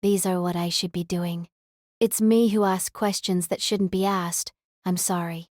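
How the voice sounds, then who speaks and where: A woman's voice: fairly low pitch, steady tone, a little slow, darkish tone, dry recording, studio quality, natural voice. A woman playing a dutiful, earnest female knight in an audio drama, formal and deferential in speech. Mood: apologetic, deferential.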